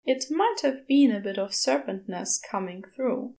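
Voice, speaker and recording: A woman narrates fiction from a script. Her voice sits fairly high and she reads at a very slow, measured pace. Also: animated, creaky, darkish tone. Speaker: podfic reader.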